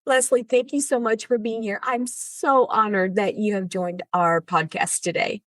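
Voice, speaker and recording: A woman's voice: medium pitch, steady tone, normal speed, no echo, clean studio sound. A woman hosting a podcast, polished and formal as she introduces her guest and asks questions. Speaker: podcast host.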